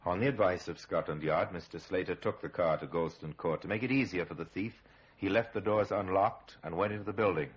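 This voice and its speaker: Deep, husky, sardonic crime narrator. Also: male voice. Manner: measured, ironic, ominous storytelling. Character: grave male narrator of a true-crime series, sardonic. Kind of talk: 1950s radio crime drama narration, dramatic. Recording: muffled vintage radio recording.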